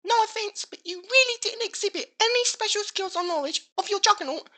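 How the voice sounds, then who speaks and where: A woman's voice: very high-pitched, brisk, pushed and bright. A woman co-host reading gamer forum posts aloud for comedy, with a mock-serious, exaggerated delivery.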